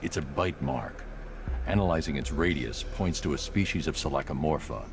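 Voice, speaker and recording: An actor playing a grim, controlled vigilante detective in a video game, speaking in a clipped, deliberate, deadpan clinical manner. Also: male voice, very deep voice. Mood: grim, analytical. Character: grim adult male masked vigilante detective, controlled and analytical.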